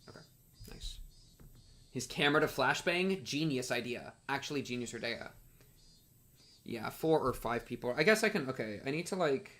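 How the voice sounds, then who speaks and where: A man's voice: medium pitch, animated, moderate pace, pauses often, a bit bright. A young man giving casual, slangy playthrough commentary on a visual novel and reading text aloud. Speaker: streamer playing a visual novel.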